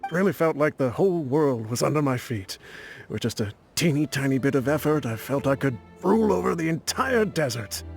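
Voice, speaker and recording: An actor playing an ailing, aging desert warband leader in a game, chuckling and boastful as he rambles. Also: medium pitch. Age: older.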